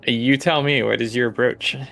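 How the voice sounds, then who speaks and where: A man's voice: medium pitch, fairly even intonation, normal speed, gravelly, a bit bright. A man running a tabletop game, improvising narration and rulings in a hesitant, think-aloud way. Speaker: game master.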